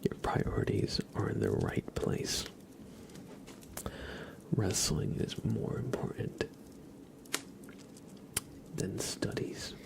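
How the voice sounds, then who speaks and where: Whispering man with a creaky low voice. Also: very animated, slow, long pauses, low-quality recording. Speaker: livestream host. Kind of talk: whispered ASMR livestream, chatting with viewers.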